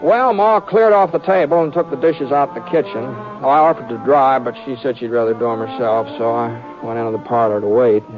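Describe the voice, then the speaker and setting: A man's voice: medium pitch, fairly quick, few pauses, lightly acted. A man with an American accent playing a mild, hesitant, fair-minded cowboy hero in a folksy, stammering drawl, in Western radio drama style. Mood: folksy storytelling. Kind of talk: 1950s Western radio drama acting and narration. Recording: dull vintage radio recording with music.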